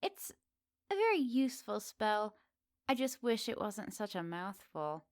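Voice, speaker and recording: A woman's voice: mid-range voice, very animated, measured pace, no echo. Woman playing flirty, teasing witch, close dry sound. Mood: curious, teasing. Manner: teasing, gently doting, slightly old-fashioned politeness. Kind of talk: ASMR roleplay addressed to the listener.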